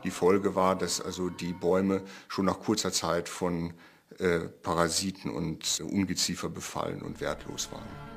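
A man's voice: deep voice, level tone, moderate pace, gravelly, faint music behind. A man giving unscripted expert commentary in a documentary interview.

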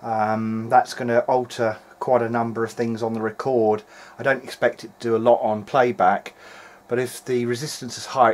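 Hesitant man thinking aloud. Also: mid-range voice. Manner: hesitant, meandering, matter-of-fact. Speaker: electronics repair hobbyist. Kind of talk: video diary, thinking aloud while working. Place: indoor workbench.

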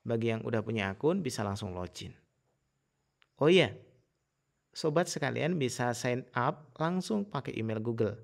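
A man's voice: medium pitch, animated, normal speed, gravelly, pauses often. A man presenting a casual step-by-step tutorial in an instructional manner.

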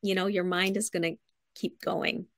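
A woman's voice: lowish voice, level tone, moderate pace, fairly bright tone, no echo, studio quality. A middle-aged woman explaining things conversationally in an interview over a video call, in an encouraging, instructive way. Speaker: guest writing coach. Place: home room, indoors, on a video call.

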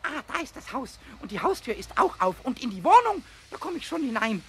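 Brief: tiny squeaky kobold, hot-tempered and mischievous, voice leaping all over, noisy old radio play tape. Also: male voice, sing-song, brisk, pushed and bright, exaggerated voice. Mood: furious, boastful. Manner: shrill, sputtering rage, repeats himself.

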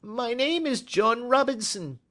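A man voicing a small, frightened, excitable man with a high, strained voice in an audiobook story. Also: unhurried, heavily acted. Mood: nervous, reluctant. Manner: anxious, excitable, quivering. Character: small, nervous, frightened man, eager and evasive.

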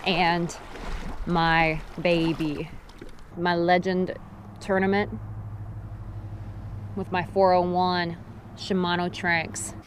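A woman in her thirties giving a casual, practical how-to talk to a handheld action camera on a river gravel bank, firm when stressing safety rules. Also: lowish voice, level tone, extremely slow, long pauses, slight background noise. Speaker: fishing vlogger. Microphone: action camera held at arm's length.

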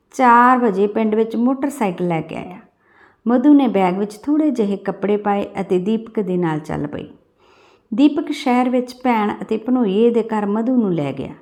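A woman's voice: on the high side, darkish tone. A woman reading a scripted story as an audiobook-style narrator.

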